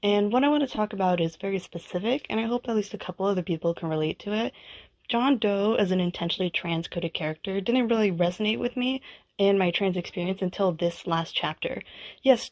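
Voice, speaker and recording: A woman's voice: mid-range voice, a little mellow. A woman's voice in a listener voice message, speaking earnestly and personally from prepared thoughts. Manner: earnest, heartfelt.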